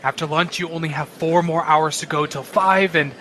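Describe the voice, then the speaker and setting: Jittery young temp, kinda high and bright, stumbling over words. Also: male voice, steady tone, a little hiss or hum. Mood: self-soothing. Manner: hesitant, awkward, halting. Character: nervous young male office temp. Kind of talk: radio play acting.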